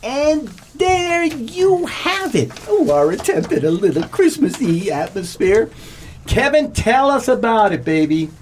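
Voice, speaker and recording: A man hosting in a casual, jokey way, with a husky voice. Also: extremely high pitch, very animated, unhurried, slight background noise. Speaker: podcast host.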